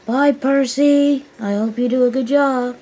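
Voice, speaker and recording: A woman's voice: sits high, steady tone, unhurried, noisy background, voice pushed up. A woman voicing an earnest, well-meaning male tank engine in a children's story, speaking emphatically. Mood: cheerful, earnest.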